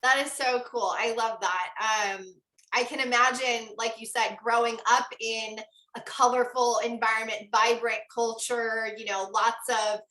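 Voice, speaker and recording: Bright-voiced woman host in echoey room. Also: a little high, steady tone, unhurried. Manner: effusive, filler-heavy, lots of "like". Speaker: podcast host. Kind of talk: casual interview chat.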